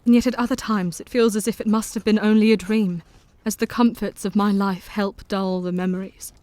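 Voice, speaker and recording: An actress playing a composed woman who looks back calmly, narrating in an audio drama. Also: mid-range voice, dry recording, close to an everyday voice. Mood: reflective. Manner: calm, measured narration. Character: composed grown woman, reflective survivor.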